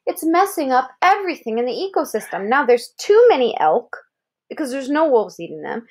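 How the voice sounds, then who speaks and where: An American woman with a fairly high voice reads a children's article aloud. She tells it expressively, like a parent to a child. She breaks from the text to explain things simply and ask questions. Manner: expressive storytelling, pausing to check understanding with questions.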